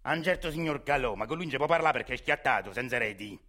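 A man's voice: medium pitch, lively intonation, fast, sharp tone. An actor playing a shady, crude male landlord with a Roman accent in a radio play, talking in a coarse, sly and menacing way. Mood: sly swaggering. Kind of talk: radio theatre acting.

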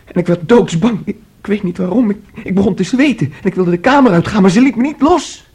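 A nervous, clinging young man in a Dutch radio drama. His voice sits fairly high for a male, a little muted and husky, and he speaks in agitated, halting bursts, naive and boastful by turns. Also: a little mellow. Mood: frightened, breathless. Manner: agitated, halting, repeating himself. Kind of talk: Dutch radio play acting.

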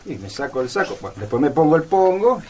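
An actor playing a brash rebel survivor in a radio drama, speaking colloquially with an Argentine accent. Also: male voice, on the high side, very animated, quick pace, a little mellow, a little hiss or hum. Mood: joking, puzzled. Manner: colloquial, informal. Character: brash, joking, defiant rebel survivor, a man.

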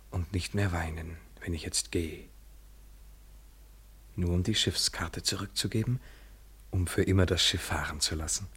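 A man's voice: low-pitched, breathy edge. An actor playing a grave, devoted man in love, speaking in a poetic, solemn radio play style. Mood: pleading, gentle.

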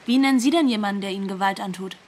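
A woman's voice: medium pitch, very fast, a little mellow, close to an everyday voice. An actress playing a wounded, scornful teenage ex-girlfriend with a frank, cutting manner in a naturalistic radio drama. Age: young adult.

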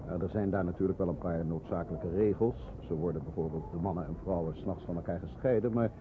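An actor in a radio drama playing a courteous, helpful community representative with a polite, hesitant, businesslike manner. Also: male voice, low-pitched, fast, natural voice. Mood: practical. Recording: tinny radio recording with clear noise.

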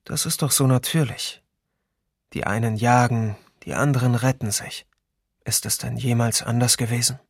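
An actor playing a wry, reflective survivor of occupation, musing aloud in a documentary radio drama. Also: male voice, mid-range voice, normal speed, vocal fry, no room sound, clean studio sound. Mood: bitter musing.

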